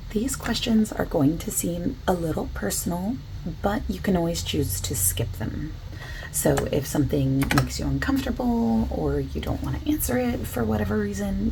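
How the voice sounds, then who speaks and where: A soft-spoken woman doing an ASMR roleplay as a survey interviewer, asking questions in a gentle, casual, reassuring way. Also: fairly low pitch, vocal fry. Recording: constant keyboard typing noise. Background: computer keyboard typing and clicking.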